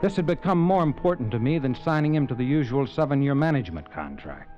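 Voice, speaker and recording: A man plays a brash talent agent, brisk and insistent. His pitch moves a lot. The old radio sound is dull, and faint music plays behind him. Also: sing-song, close to an everyday voice. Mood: narrating. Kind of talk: 1940s radio acting.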